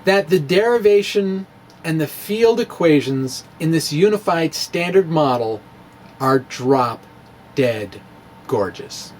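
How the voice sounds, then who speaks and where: Male physics blogger, slow and animated, wry humour, slight hiss behind. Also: on the high side. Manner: wry, playful, laughs at his own jokes. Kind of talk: dramatic reading of a blog lesson.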